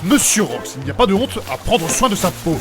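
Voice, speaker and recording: A man's voice: sits high, very animated, a little fast, music in the background. A man playing a fussy, polite older man in a comic audio drama, speaking primly and formally as he coaxes. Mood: coaxing, reassuring. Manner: prim, formal, fussing and coaxing. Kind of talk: comic audio fiction acting.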